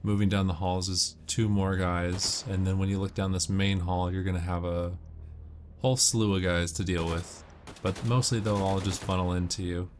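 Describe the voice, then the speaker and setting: Man, very deep voice, explanatory gamer walkthrough, noisy track with game gunfire behind. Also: flat delivery, normal speed. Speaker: gamer giving walkthrough tips. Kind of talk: casual gameplay commentary. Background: video game gunfire and ambience.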